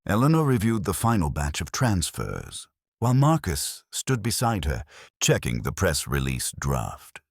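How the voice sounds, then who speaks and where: A man reading a scripted, dramatic first-person story in a measured, deliberate manner. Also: sits low, huge pitch swings, creaky, slightly rough, dry recording, clean studio sound. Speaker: story narrator.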